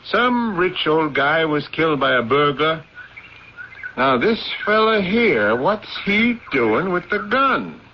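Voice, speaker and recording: An actor playing a steady, folksy male police officer in a radio drama. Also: fairly high pitch, slow pace. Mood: matter-of-fact. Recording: noisy muffled radio drama tape.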